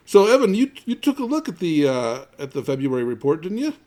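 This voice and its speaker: A man's voice: fairly high pitch, very animated, darkish tone. A man hosting a conversational tech news podcast, often stumbling and repeating the starts of his words. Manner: halting, with repeated word starts. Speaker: podcast host.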